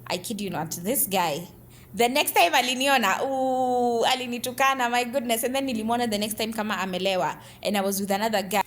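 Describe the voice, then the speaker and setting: Animated young woman storyteller. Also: fairly high pitch, fairly quick. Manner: lively, dramatic, mixes in Swahili words. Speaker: storyteller guest clip.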